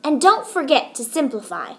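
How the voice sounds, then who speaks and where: A woman's voice: high-pitched, very animated, normal speed. A woman presenting a scripted maths lesson in a recited, step-by-step way.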